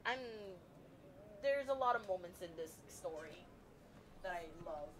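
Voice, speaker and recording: A woman's voice: on the low side, expressive, measured pace, poor audio. A woman streaming a game, rambling and gushing with emotion and some swearing. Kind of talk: excited gaming stream commentary. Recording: stream with loud game music behind.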